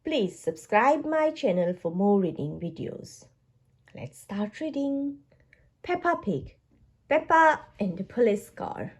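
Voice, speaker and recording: A woman reading a storybook aloud to young children in an expressive, deliberate way. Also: mid-range voice, breathy edge. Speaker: children's book read-aloud narrator.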